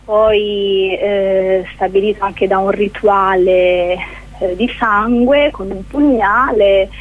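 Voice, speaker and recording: Slow, halting woman on a phone line. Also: medium pitch, fairly even intonation. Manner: hesitant, halting, with searching pauses. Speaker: interview guest, actress presenting a show. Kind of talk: informal radio interview. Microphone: telephone. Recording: narrow, tinny phone line.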